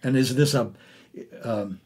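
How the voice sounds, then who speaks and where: A man hosting a casual arts interview, speaking haltingly and often restarting his sentences. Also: mid-range voice, darkish tone, husky. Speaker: interviewer and podcast host.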